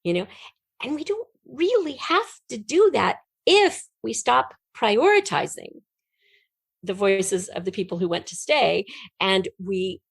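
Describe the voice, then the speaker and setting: A middle-aged woman giving an earnest, emphatic and expressive explanation as a guest in a conversational interview. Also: medium pitch, unhurried, creaky, slightly rough, no echo. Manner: earnest, emphatic, expressive storytelling with illustrative metaphors. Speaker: podcast guest, writer.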